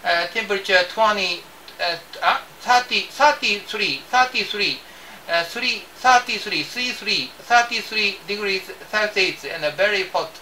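Older male ham operator, Japanese accent, halting and repetitive, slightly distant pickup with light hiss. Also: sits high, animated, sharp tone. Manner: halting, repeats words, spells in phonetic alphabet. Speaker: amateur radio operator. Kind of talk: ham radio contact. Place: small indoor radio desk.

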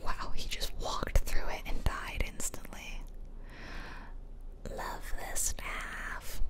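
A woman whispering casual, musing ASMR commentary close to the microphone as she plays a game. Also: slow. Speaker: game streamer. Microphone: close microphone, ear-to-ear whispering.

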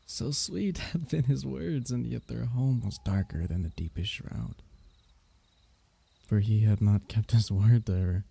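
A man voicing a gentle, loving royal diviner, telling a bedtime myth as ASMR in a tender, archaic, unhurried, husky way. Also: on the low side, full character voice. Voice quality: husky, gravelly. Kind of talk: ASMR bedtime story roleplay.

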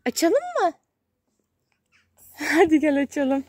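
High-voiced woman in playful child-directed narration. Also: sing-song, slow pace, background noise. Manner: sing-song, exclaiming, simple short phrases. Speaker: children's video host playing with a child. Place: outdoors on grass in a garden.

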